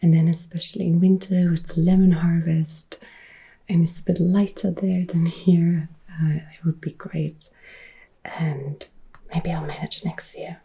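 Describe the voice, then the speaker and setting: A woman in her thirties from Upper Austria with an Austrian German accent, softly whispering and quietly answering viewer questions as an ASMR host. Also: lowish voice, flat delivery, a little slow, gravelly, slightly rough. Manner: soft whispering, mixed with quiet speech. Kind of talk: ASMR Q&A chat. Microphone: close-up sensitive ASMR mic.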